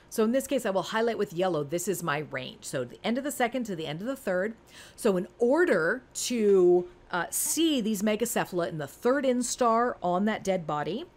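Animated woman in her forties, teaching. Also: mid-range voice. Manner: conversational, emphatic, talks to the learner with rhetorical questions. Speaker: instructor. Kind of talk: informal screen-recorded lesson, explaining step by step.

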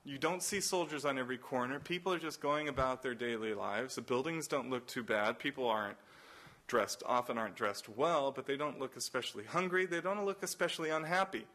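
A man's voice: mid-range voice, brisk, fairly bright tone. Man chatting through a symposium talk. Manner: loose, anecdotal, many fillers and restarts; acts out quoted exchanges in raised voices. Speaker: nonprofit director giving a symposium talk. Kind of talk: conference talk with slides. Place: college symposium room.

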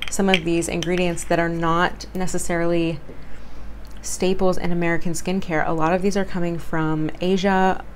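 A fairly low-pitched woman talks through a skincare review in a loose, rambling way. She trips over words and makes fun of herself, and her voice sounds worn and close to giving out. A faint hiss sits under the recording. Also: little pitch movement, normal speed. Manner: rambling, self-deprecating, stumbles over words. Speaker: beauty vlogger. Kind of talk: casual product review chat.

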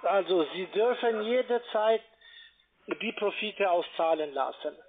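A man with a foreign accent, speaking non-native German as he gives a telephone sales pitch. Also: animated, a little slow. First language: not German. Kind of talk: sales pitch on a phone call. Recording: muffled narrow-band phone line.

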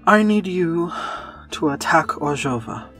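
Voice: medium pitch, animated, a little slow, bright, forceful tone, soft background music. A performer voicing an anxious, earnest younger man confiding in his lover, in an audio fiction drama. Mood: grave, urgent.

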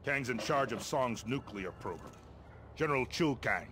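A man acting as a middle-aged American colonel in a military video game. He is gruff and impatient, giving orders in a medium-pitched voice with blunt authority and a wry edge. Background ambience noise sits behind him. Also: animated, normal speed, close to an everyday voice. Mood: businesslike, urgent. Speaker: military officer giving mission briefings. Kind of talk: video game mission briefing dialogue.

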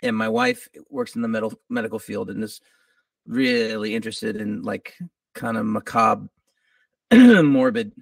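Middle-aged man, gravelly voice, lively swings in pitch, laid-back, a little groggy. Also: unhurried, no room sound, distant mic. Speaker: musician guest. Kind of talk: casual interview.

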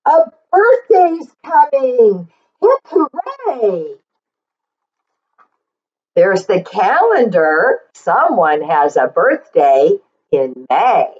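Very slow, expressive woman reading to children. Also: mid-range voice, husky, lo-fi sound. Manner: expressive, deliberate, with drawn-out words and explanatory asides. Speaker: children's story reader and teacher. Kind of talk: read-aloud picture book storytelling for children.

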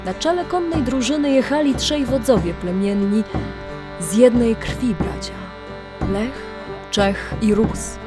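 A woman narrating a scripted audio drama legend in a measured, literary storytelling manner, with deliberate pauses. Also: fairly high pitch, animated. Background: music.

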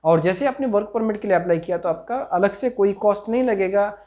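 A man's voice: high-pitched, fairly quick, a little mellow, highs cut off. A man in his forties with an Indian accent giving an informational promotional talk to camera, explaining step by step and mixing in many English terms. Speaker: overseas recruitment consultant. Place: small office. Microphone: clip-on lapel mic.

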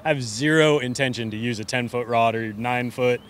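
A man's voice: mid-range voice, brisk, fairly bright tone. A man in his thirties, a professional angler, answering outdoors in a blunt, dismissive way. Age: 30s. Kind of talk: interview answers. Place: outdoors by boats. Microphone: handheld mic held by interviewer. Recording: faint outdoor noise.

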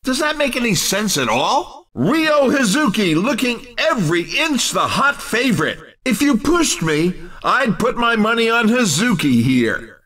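A hyped up arcade race announcer, really high voice, swoops all over, punchy and playful. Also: male voice, big pitch swings, a little slow, pushed and bright, far from the mic, exaggerated voice. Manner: punchy, showy, playful delivery. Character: hyped, jokey male arcade racing commentator. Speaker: race commentator in a video game. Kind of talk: excited sports commentary voice lines.

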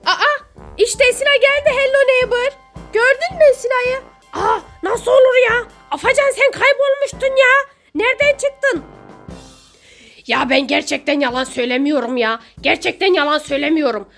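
A woman with a very high-pitched voice acts as a mother in a children's pretend-play skit. She is exclamatory and theatrical, often repeating herself, with music playing behind her. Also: animated, normal speed, fairly bright tone.

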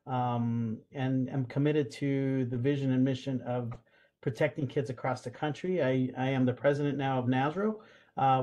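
A man's voice: mid-range voice, a little mellow. A middle-aged man speaking earnestly on a video call panel, with many fillers and restarts. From Guatemala. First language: Spanish. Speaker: panelist, school police association president. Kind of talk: online panel discussion. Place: home or office room, video call. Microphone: video call webcam.

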